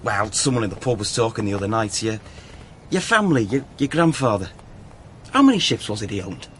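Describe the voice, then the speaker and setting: A man's voice: on the low side, big pitch swings, a little fast, a bit bright, a little hiss or hum. An actor playing a chatty male taxi driver and mature student, casual, nosy and hesitant, in a naturalistic radio drama.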